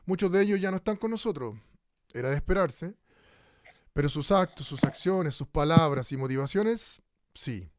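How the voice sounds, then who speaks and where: A man's voice: on the high side, dry recording, close to an everyday voice. A man with a Chilean accent playing an earnest, formal radio host in a studio radio drama set in a bleak future. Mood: solemn. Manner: solemn, formal, speaks of hope. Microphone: studio microphone.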